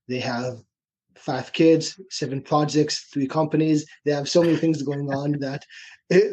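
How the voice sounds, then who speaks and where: A young man in his twenties from Israel, with an Israeli accent, telling his story in a relaxed way as a podcast guest. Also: medium pitch. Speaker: guest, personal trainer. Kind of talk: casual podcast interview. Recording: dull lo-fi remote audio.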